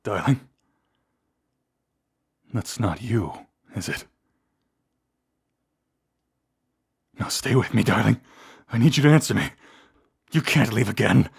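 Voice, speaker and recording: A man voicing a proud, seductive vampire lord in a scripted audio roleplay, speaking in a theatrical, deliberate and intimate way. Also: medium pitch, darkish tone, pitched above the performer's own voice. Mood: desperate, pleading. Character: seductive, menacing vampire lord, proud and secretly tender toward lost love.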